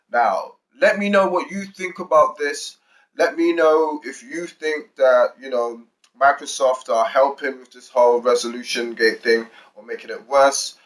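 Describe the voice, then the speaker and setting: A man's voice: medium pitch, fairly bright tone, rough recording. Slangy male gaming YouTuber. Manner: slangy, urban hip-hop style phrasing. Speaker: YouTube gaming channel host. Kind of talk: video commentary to viewers, part hype greeting, part explainer.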